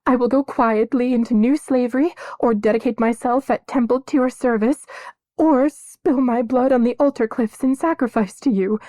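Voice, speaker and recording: Woman reading as a desperate young slave girl, high and tearful, loud, dry close sound. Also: moderate pace, dry recording, natural voice. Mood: pleading. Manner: high, pleading, tearful cries. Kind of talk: audiobook character voice.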